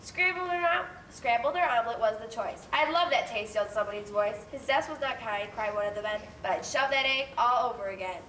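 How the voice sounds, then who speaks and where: An earnest, high-pitched female news reporter from a storybook world, played by a stage actress. She delivers everything like a mock bulletin. She is picked up from a distance in an echoey space with faint noise. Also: fairly quick, hardly any pauses, lightly acted. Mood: reciting.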